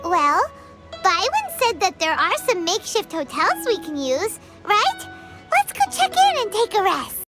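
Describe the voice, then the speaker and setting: A woman voicing a tiny, excitable fairy companion in a game, with a squeaky, childlike voice and dramatic exclamations. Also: unhurried, exaggerated voice. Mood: chipper. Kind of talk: game voice acting. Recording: music underneath.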